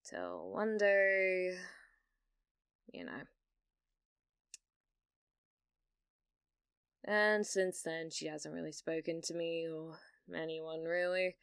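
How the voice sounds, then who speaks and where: A British woman playing a needy, delusional young gamer in an ASMR roleplay, hesitant and stammering, blithely casual about dark things. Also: low voice, lively intonation, slow, clear, resonant tone, no room sound, full character voice. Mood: wistful, darkening.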